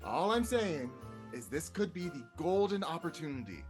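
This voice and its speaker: A man playing a friendly, wistful old animated snowman in a live table read of a comedy film. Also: on the high side, normal speed, music in the background. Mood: fatherly, wistful.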